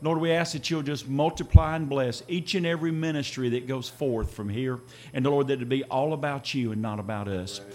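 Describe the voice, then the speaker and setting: A man with a voice raspy from an earlier service, leading a church prayer in a steady, repetitive cadence. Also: mid-range voice, a little fast.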